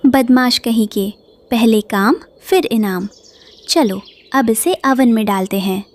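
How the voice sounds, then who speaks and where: A woman's voice: on the high side, slow. Woman voicing a cheerful mother-bird baker. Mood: teasing. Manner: warm, motherly, welcoming.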